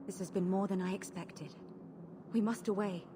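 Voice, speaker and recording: A woman's voice: medium pitch, steady tone, normal speed, lightly acted. A woman playing a proud, wary fugitive mage in a video game, speaking in a grave, formal, solemn manner. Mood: grateful, solemn. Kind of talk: dramatic video game acting. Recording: clear ambient noise behind the voice.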